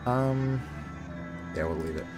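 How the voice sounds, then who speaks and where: A man casually chatting while he plays a game on a live stream, talking in a relaxed, soothing way and often restarting his sentences. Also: mid-range voice, slow pace. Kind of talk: casual live gameplay commentary. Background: game music.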